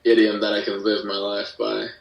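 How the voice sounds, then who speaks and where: A man with a fairly low voice talks casually as an interview guest, in loose slangy phrases that wander. He sounds a bit distant from the microphone, in a clearly echoey room. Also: completely flat delivery, normal speed, lo-fi sound. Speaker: podcast guest, musician and audio drama creator. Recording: roomy echo, distant from mic.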